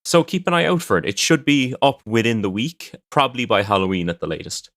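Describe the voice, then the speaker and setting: A man's voice: mid-range voice, lively intonation, a little fast, fairly bright tone, no room sound, clean studio sound. A man presenting a story podcast in a scripted narration style, as host and storyteller. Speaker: podcast host and fiction writer. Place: indoors at a desk at home.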